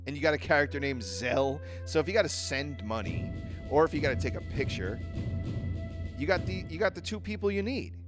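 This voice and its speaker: A man's voice: on the high side, lively intonation, a little fast, over music. A man giving casual gameplay commentary in a chatty, rambling way, thinking aloud as he plays. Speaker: video game player commentating.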